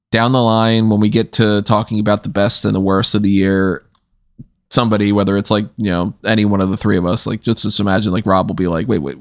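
A man co-hosting a casual podcast chat, talking with lively swoops up in pitch. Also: dry recording, studio quality. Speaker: podcast co-host.

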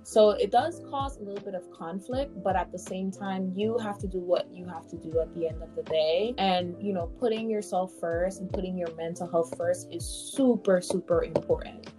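A young woman from Guyana co-hosting a casual podcast chat, speaking in a relaxed, reflective way with many fillers. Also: fairly low pitch, moderate pace, few pauses, music in the background. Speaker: podcast co-host.

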